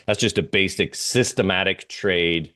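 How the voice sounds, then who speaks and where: Very deep-voiced man giving halting analysis. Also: sharp tone. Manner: halting, rambling delivery with frequent pauses and repeated phrases. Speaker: interview guest, geopolitical oil trading analyst. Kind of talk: unscripted analysis over a slide presentation.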